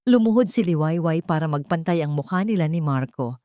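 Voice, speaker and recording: Low-voiced Filipino woman narrating drama, dry recording. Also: expressive, brisk, warm tone, no echo. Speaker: story narrator. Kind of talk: scripted dramatic story narration in Tagalog radio-drama manner.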